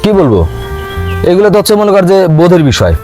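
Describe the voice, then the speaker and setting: A man's voice: high-pitched, a little fast, over music. A man with a Bangladeshi accent acting as a broke, aggrieved city office worker in a comic drama, grumbling to a friend in colloquial speech. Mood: animated grumbling. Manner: animated grumbling storyteller, colloquial and exasperated. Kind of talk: scripted comic drama dialogue.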